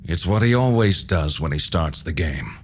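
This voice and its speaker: An actor playing a mysterious, calm old hermit in a video game, speaking in a measured, wry way. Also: male voice, low voice, a little hiss or hum. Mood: calm, grave. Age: older. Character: mysterious old man, calm hermit helping vampire hunters. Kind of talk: video game cutscene voice acting.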